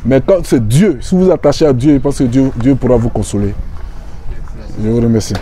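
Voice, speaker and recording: An Ivorian man speaks outdoors to a gathered neighborhood, his voice very dark and mellow. He is earnest and halting, repeating phrases and restarting sentences as he addresses the crowd. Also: mid-range voice, a little hiss or hum. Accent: Ivorian French. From Côte d'Ivoire. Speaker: visiting association official. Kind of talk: emotional outdoor speech to a community.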